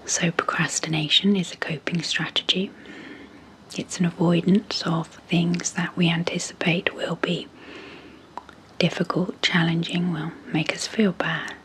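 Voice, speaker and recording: Softly whispering woman, very slow. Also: on the low side, flat delivery, poor audio. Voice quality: breathy, half-whispered. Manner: slow, gentle, measured with long pauses. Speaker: psychologist and ASMR host. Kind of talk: soft-spoken ASMR hypnosis introduction.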